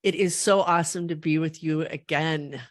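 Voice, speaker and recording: A woman's voice: deep voice, steady tone, moderate pace. A middle-aged woman hosting a podcast interview, getting over a cold and a little sniffly, chatting in a rambling way with many fillers. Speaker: podcast host. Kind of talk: casual interview chat.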